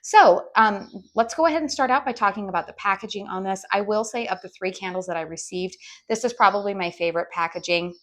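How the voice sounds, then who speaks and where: A woman with a raspy voice from a head cold, casually reviewing a candle outdoors in a chatty way with lots of ums. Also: mid-range voice, fast, few pauses, fairly bright tone. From US West Coast. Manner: chatty, frequent ums and restarts. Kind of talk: casual product review vlog. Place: outdoors with birdsong.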